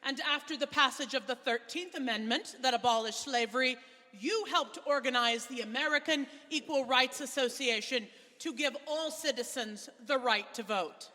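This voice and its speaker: A woman playing a dignified suffragist leader in a historical readers theater, with a formal, measured delivery. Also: high voice, sharp tone. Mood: fond, reminiscing. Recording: echoey hall with long reverb.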